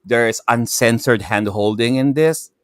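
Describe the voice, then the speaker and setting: A man with a Filipino accent chatting casually as a gaming show panelist, excitable and rambling, often repeating himself. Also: medium pitch, a little slow, clear, resonant tone, no echo, studio quality. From Philippines. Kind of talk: casual chat.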